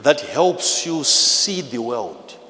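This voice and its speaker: A man with a Zimbabwean accent preaching a sermon in an emphatic, repetitive, teacherly manner, swinging between hushed and loud delivery. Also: medium pitch, big pitch swings, takes it slowly. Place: large church hall with PA. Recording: live in a large reverberant hall, noisy.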